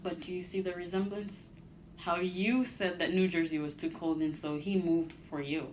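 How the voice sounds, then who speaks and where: A woman's voice: lowish voice, fairly even intonation, moderate pace, a little mellow, a little hiss or hum, poor audio. Attentive woman asking clarifying questions. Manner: attentive, frequent short backchannels, clarifying questions. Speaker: interviewer. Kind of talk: casual conversation.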